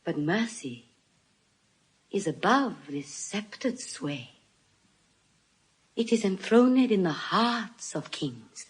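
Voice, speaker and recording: An actress playing an eloquent, solemn young lawyer in an audio Shakespeare drama, measured and earnest, often dropping to hushed emphasis. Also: female voice, mid-range voice, sing-song, slightly rough, exaggerated voice, rough recording. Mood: solemn pleading. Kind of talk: classical stage acting in audio Shakespeare.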